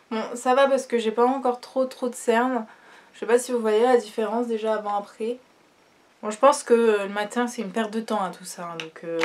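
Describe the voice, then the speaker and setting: A young woman with a slightly high voice, congested from a cold, talks through a makeup routine at home. She chats casually, fills gaps with hesitations and lets her sentences fade off quietly. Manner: chatty, many fillers, trails off quietly. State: stuffy nose from a cold. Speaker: beauty vlogger. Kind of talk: casual makeup tutorial chat.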